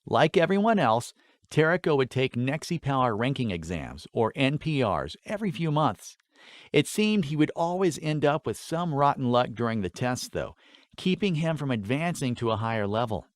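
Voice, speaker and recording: A man narrating a fantasy story in an expressive, scripted audiobook style. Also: medium pitch, normal speed, no echo.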